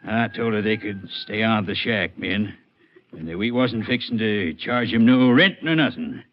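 An actor playing a folksy, shifty farmer with a rural Texan drawl in a 1950s radio western, eager to sound helpful. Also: male voice, on the low side, lively intonation, moderate pace, close to an everyday voice. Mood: folksy, sympathetic. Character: folksy, shifty married farmer, slick-talking countryman. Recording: muffled, noisy 1950s radio recording.

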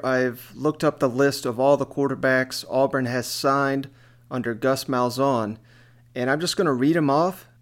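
A man hosts a sports podcast in a casual, conversational style. His voice sits at an average pitch for a man, and he talks as if to a co-host across from him.

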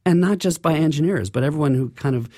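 A man's voice: fairly high pitch, fast talker, a little mellow, no room sound, studio quality. A man speaking as an engineer guest in an interview, explaining things in an earnest way.

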